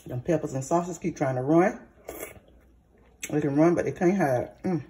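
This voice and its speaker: Hoarse woman cooking on camera. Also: low-pitched, normal speed, mellow, slight background noise. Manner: chatty, talks to viewers as y'all, savoring mm sounds. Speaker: cooking vlogger. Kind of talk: casual cooking vlog with tasting. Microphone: phone or camera mic, recording alone in a kitchen.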